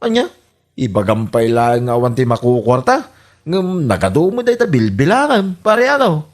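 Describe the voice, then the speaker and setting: A man's voice: mid-range voice, wildly swooping pitch, moderate pace. A man acting in an Ilocano radio comedy drama as a teasing, envious buddy with an Ilocano accent, exclaiming and needling.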